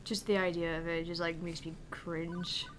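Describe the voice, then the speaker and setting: A woman's voice: on the low side, flat delivery, normal speed, fairly bright tone, slight background noise. A woman co-hosting a game stream with casual, off-the-cuff chat. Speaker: co-host.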